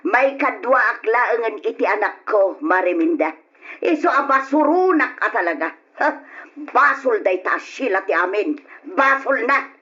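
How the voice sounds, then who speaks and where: Spiteful, high-voiced Filipino mother-in-law. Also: animated, normal speed, bright, forceful tone. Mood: bitter. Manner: bitter, griping, vehement. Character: spiteful, jealous, possessive middle-aged mother-in-law villain. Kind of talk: radio drama acting. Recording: dull, lo-fi old radio drama.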